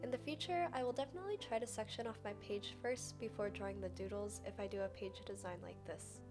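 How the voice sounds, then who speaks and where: A woman's voice: medium pitch, quick pace. A young woman giving a chatty, scripted voice-over as the narrator of a craft video. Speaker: journaling video creator and narrator. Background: background music.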